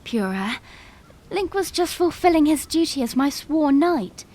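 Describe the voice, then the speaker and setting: A woman's voice: high voice, lively intonation, measured pace, a little mellow. A woman playing an earnest, dutiful young princess with formal phrasing in a fan audio drama. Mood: defensive.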